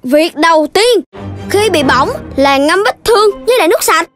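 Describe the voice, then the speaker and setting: A sensible little know-it-all in a children's cartoon. The voice is tiny and extremely high, with a Southern Vietnamese accent. The delivery is instructive and a bit scolding, over clear background music. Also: animated, moderate pace, a bit bright. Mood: earnest, instructive. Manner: instructive, chiding.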